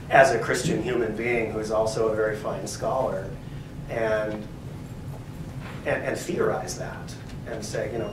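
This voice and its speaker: Man, lowish voice, halting speech, echoey room, distant mic. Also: background noise. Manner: hesitant, many fillers and restarts. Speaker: professor and co-editor. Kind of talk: library talk, answering questions.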